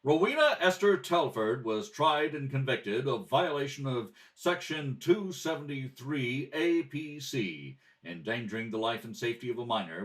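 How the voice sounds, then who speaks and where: A man's voice: medium pitch, distant mic, low-quality recording. A man reading scripted announcements and narration in the style of an old-time radio program.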